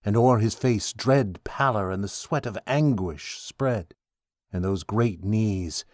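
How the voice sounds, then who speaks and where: A man's voice: lowish voice, takes it slowly, a little mellow, dry recording, studio quality. A man reading mock-epic narrative verse aloud in a dramatic style. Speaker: poetry reader in a group recording.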